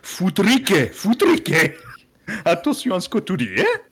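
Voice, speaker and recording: A man playing a character in an improvised tabletop game, jokey and animated, slipping into put-on accents. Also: high-pitched, a little slow, slightly rough. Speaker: player. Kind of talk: improvised roleplay banter.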